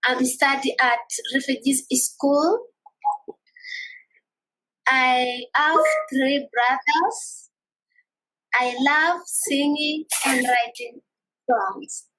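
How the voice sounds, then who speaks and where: A young woman from Kenya in her twenties speaks in a high voice. She talks very slowly and carefully over a video call. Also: bright, forceful tone, low-quality recording. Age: 20s. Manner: very slow, careful speech. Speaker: student panelist.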